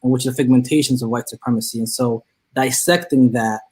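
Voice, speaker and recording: A man's voice: mid-range voice, fairly even intonation, brisk, darkish tone, breathy edge. A man speaking as a college counseling professor on a panel discussion, earnest and academic, with frequent restarts.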